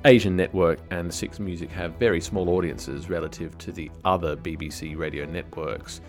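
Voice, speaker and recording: A man who writes on media, a studio guest explaining the broadcaster's cuts in a measured way. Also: low voice, steady tone, faint music behind. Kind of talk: studio analysis.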